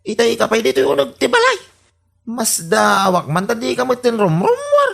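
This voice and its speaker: A woman voices an exasperated, suspicious Ilocano husband in a radio comedy drama. The voice is gravelly and fairly high, with very animated pitch and a probing manner. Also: moderate pace, exaggerated voice. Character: suspicious, exasperated husband questioning his spendthrift wife.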